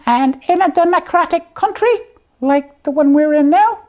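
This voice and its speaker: A woman voicing a slipper puppet who hosts a comic show, explaining things simply and haltingly in a matter-of-fact way. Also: high voice, muffled recording, lightly acted.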